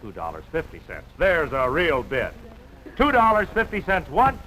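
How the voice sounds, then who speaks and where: An actor playing a brisk, coaxing American auctioneer at a social event in a 1950s film. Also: male voice, fairly high pitch, huge pitch swings, sharp tone, slight background noise, heavily acted, rough recording. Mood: upbeat, urging.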